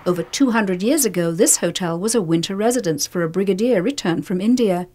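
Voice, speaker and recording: A woman reading scripted travel documentary narration in a measured, descriptive way. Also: mid-range voice, darkish tone. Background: background music.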